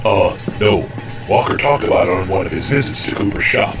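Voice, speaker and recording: Deep, commanding radio hero. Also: male voice, animated, a little fast. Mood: urgent, deducing. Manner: urgent, terse, authoritative. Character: commanding, resolute masked crime-fighting hero. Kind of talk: old-time radio drama acting. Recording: roomy sound with music behind.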